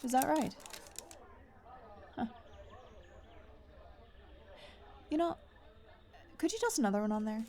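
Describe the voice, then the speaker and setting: A woman playing a brisk, wry, guarded young outcast guide in a fantasy audio drama. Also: high voice, very animated, dark tone. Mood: wry banter. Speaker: voice actor. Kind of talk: fantasy audio roleplay acting.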